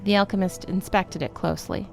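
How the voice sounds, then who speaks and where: A woman's voice: mid-range voice, steady tone, a little fast, creaky, a little mellow, faint music behind. A woman reading scripted narration for a fantasy story, as a storyteller. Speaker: narrator of a fantasy fiction podcast.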